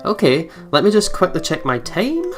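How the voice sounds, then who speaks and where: A man doing let's play commentary, reading game narration aloud in an expressive way with playful asides. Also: high voice, normal speed. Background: soft game music with guitar.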